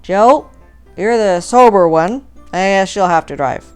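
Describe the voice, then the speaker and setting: A woman acts out a tipsy farmer dad from a comic storybook, loud and pitched up. He slurs as he tries to keep an air of command. Music plays behind him. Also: a little slow, clear, resonant tone. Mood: drunken, commanding.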